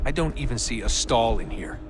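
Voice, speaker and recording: A man plays a sarcastic young agent in a video game. His mid-pitched voice is animated and colloquial, with music clearly behind it. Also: fairly quick, close to an everyday voice. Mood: sarcastic, grumbling. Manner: sardonic, colloquial. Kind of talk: casual game dialogue.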